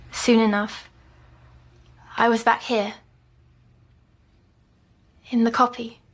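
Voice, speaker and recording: A woman playing a timid young girl in an audio drama, recounting nightmares in a hesitant, halting way. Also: on the high side, fairly bright tone. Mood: darkly calm. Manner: hesitant, halting, breaking off mid-phrase.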